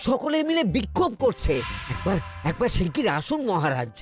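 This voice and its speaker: A man's voice: high-pitched, wildly swooping pitch, brisk, faint music behind. A man playing an alarmed royal messenger in a cartoon, dramatic and urgent. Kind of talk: Bengali cartoon dubbing.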